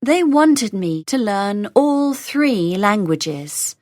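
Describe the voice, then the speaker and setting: Slow, lively-pitched woman reading lesson lines. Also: no room sound, studio quality. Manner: slow, carefully enunciated, lines read three times over. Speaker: dialogue reader for English learners. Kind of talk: scripted lesson dialogue, each line repeated.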